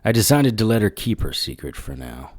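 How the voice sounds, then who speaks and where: A man's voice: lowish voice, sing-song, brisk, dry recording, studio quality. A man acting a wry, hard-boiled occult detective who narrates and talks in a noir radio drama. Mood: brooding, matter-of-fact. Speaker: narrator and lead character.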